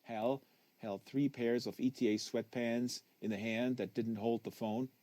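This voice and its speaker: A man reading a literary novel aloud as a narrator, leaning on phrases with deliberate emphasis. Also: medium pitch, fairly even intonation, mellow, no room sound. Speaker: audiobook reader. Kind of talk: live literary audiobook reading.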